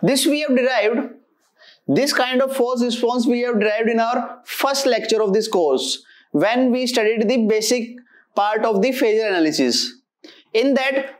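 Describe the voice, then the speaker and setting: A woman giving a step-by-step technical lecture as an engineering teacher, methodical and halting as she works through equations. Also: on the high side.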